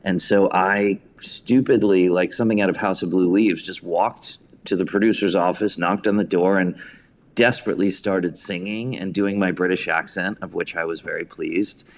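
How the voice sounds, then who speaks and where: American guy in his 50s telling stories in an interview, low voice, bit of noise behind him. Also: fairly even intonation, moderate pace. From suburban New York, United States. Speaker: podcast guest, theater writer and director. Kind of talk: anecdotal interview storytelling.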